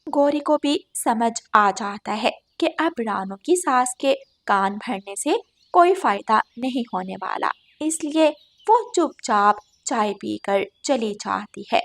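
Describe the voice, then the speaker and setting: A woman's voice: fairly high pitch, lively intonation, slow, creaky, a bit bright. A woman narrating a scripted cartoon bird story.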